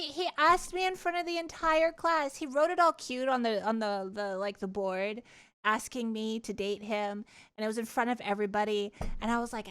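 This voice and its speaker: High-voiced, animated woman streamer. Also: clear, resonant tone. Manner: animated, candid, giggly, swears casually. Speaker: livestreamer chatting with viewers. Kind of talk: casual chat and personal storytelling.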